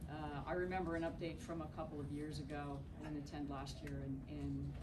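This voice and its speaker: A woman in the audience offering thanks and comments, measured and with some fillers. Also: low voice, little pitch movement. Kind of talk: comment from the floor. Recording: distant voice in noisy room.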